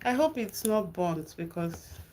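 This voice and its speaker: Nigerian woman hosting a live garden stream, unhurried and chatty, faint noise behind. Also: lowish voice, lively intonation, warm tone. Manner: chatty, answers viewer comments, drawn-out slow delivery. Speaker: gardening channel host. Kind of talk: casual live-stream chat with viewers. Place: home garden and kitchen.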